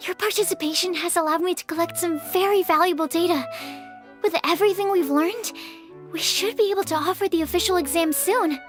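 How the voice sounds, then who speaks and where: A woman playing a sweet, earnest young female researcher in an anime game, polite and encouraging. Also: extremely high pitch. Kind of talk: anime video game voice acting. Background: music.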